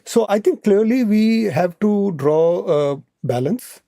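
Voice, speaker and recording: A man's voice: high-pitched, animated, slow pace, gravelly, warm tone, no room sound, studio quality. A man with an Indian accent speaking as an expert podcast guest, explaining point by point in conversation and often checking in with "right?".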